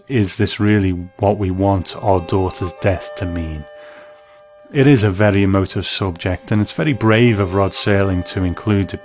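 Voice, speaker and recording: A man hosting a show, giving a measured, thoughtful scripted review in a reflective narrating style. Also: lowish voice, a little mellow. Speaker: host and narrator.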